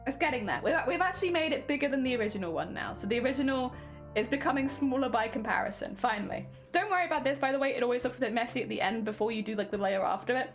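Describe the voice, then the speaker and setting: A young woman talks casually as she sews on a live stream, rambling through filler words. Her fairly high voice swings up and down a lot, and a slight winter cough roughens it. Quiet music plays underneath. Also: lively intonation, racing. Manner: chatty, rambling, lots of fillers. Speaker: craft streamer. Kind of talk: casual live-stream chat while sewing.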